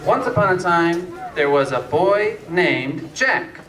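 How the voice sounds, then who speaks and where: Echoey, distant, slow storytelling man. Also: high voice, expressive, a bit bright, some chatter behind. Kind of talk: scripted fairytale narration. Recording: echoey room, distant mic, faint noise.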